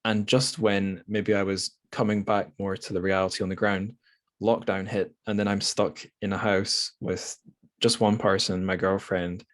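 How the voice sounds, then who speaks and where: A man's voice: fairly low pitch, flat delivery, normal speed. A man hosting a podcast, talking casually about books in a rambling, hedging, self-deprecating way. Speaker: podcast host. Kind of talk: casual book discussion.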